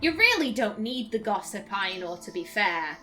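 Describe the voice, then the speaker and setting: A woman's voice: mid-range voice, big pitch swings, moderate pace, sharp tone, soft background music. A young woman chatting through gameplay as a let's play host, giggling and stumbling over her words. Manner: chatty, giggly, self-interrupting. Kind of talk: casual gameplay commentary.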